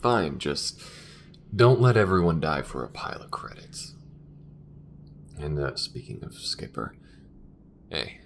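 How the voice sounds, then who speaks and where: A man playing a weary, grudging but caring spaceship crewman in an audio roleplay, talking in a halting, conversational way. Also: rumbling low, very animated, creaky, noisy background, exaggerated voice. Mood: wary, concerned. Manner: halting, conversational, muttering at times. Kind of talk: intimate audio roleplay acting.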